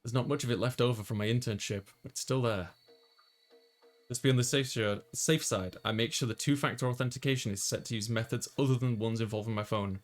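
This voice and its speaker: A man's voice: mid-range voice, fairly quick. A man reading a visual novel aloud as a let's player, narrating and chatting casually, with game music behind him.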